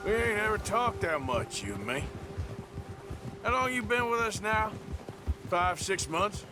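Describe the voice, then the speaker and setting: A man playing a gruff, sardonic middle-aged American outlaw in a western video game, with a drawling delivery. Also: animated, unhurried. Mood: reflective. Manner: dry, sardonic. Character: gruff, wry outlaw gunman in the Old West. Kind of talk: video game cutscene acting. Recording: loud music bed, vehicle-like rumble.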